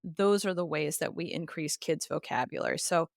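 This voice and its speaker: A woman's voice: low-pitched, moderate pace, gravelly, no room sound, studio quality. A woman giving explanatory interview answers as an expert guest, speaking in an articulate, emphatic, teacherly manner. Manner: articulate, emphatic, teacherly, uses examples and lists. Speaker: expert guest, literacy educator and author.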